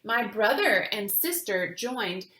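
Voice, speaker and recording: A woman reading a children's book aloud in a deliberate, teacherly way, pausing to speak to young listeners. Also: mid-range voice, big pitch swings, bright, forceful tone, echoey room, low-quality recording. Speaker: reader of children's books for a virtual summer camp. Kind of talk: read-aloud storytelling with asides to children.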